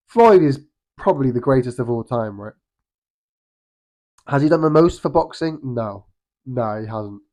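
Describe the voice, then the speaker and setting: A man's voice: medium pitch, darkish tone. A young British man with a cold and a slightly nasal voice, giving a casual, rambling boxing opinion vlog. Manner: rambling, frequent restarts and ums. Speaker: boxing vlogger.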